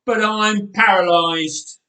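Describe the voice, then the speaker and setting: A man's voice: sits high, fairly even intonation, slow, pushed and bright, pitched above the performer's own voice, heavily acted. Man doing a whiny cheeky young boy. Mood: mock-suffering. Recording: roomy church echo.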